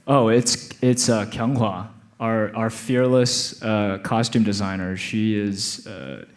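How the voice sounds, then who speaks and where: An American man speaking as an actor guest in a live panel conversation on stage, animated and prone to restarts. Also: on the low side, takes it slowly. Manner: animated, laughing, many restarts. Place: stage before live audience.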